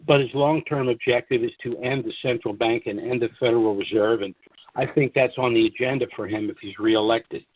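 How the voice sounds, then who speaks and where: An American man speaking deliberately and haltingly in an informal monologue as host of a conference call. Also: mid-range voice, steady tone, dry recording. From United States. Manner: deliberate, halting, frequent throat-clearing. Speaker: conference call host promoting trust services. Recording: dull, dry conference call audio.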